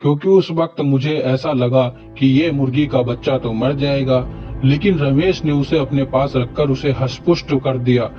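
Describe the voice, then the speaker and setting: A man's voice: mid-range voice, normal speed, dull audio, faint music behind, lightly acted. A man playing a greedy, possessive rich farmer, the villain in cartoon story dialogue. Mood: indignant.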